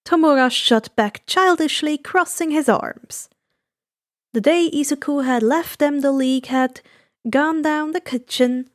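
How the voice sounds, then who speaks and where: A woman's voice: high-pitched, unhurried. A woman narrating fan fiction from a script as a storyteller.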